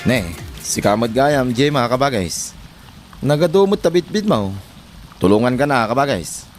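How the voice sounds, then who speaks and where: A man's voice: mid-range voice, animated, normal speed, over music, close to an everyday voice. A man playing a defensive, grumbling husband in a comedy drama, protesting in exasperation. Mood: friendly. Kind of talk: Ilocano comedy drama acting.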